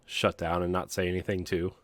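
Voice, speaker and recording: A man co-hosting a podcast in casual chat, talking in a rambling, halting, self-deprecating way. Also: low voice, completely flat delivery, no room sound. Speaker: podcast co-host.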